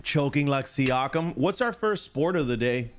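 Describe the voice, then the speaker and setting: A man's voice: mid-range voice, animated, moderate pace, soft background music. A man hosting a live sports stream, chatting casually in chummy slang, greeting viewers by name and repeating himself.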